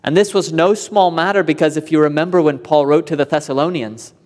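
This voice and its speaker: A man's voice: a little high, brisk, a little mellow. A man preaching an expository sermon, explaining in an earnest way and rising in emphasis on key points. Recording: long hall reverb.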